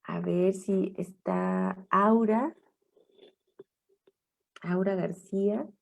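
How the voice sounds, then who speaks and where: A woman teacher leading an online class, speaking in a halting, encouraging way over a video call mic. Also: mid-range voice, steady tone, creaky. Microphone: computer mic on a video call.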